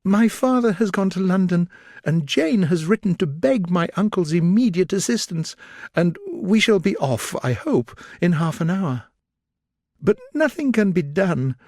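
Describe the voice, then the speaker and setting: A man reading aloud, voicing a distraught young gentlewoman with an urgent, pleading, high and breaking voice. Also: expressive, measured pace, no room sound, studio quality, exaggerated voice. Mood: anguished.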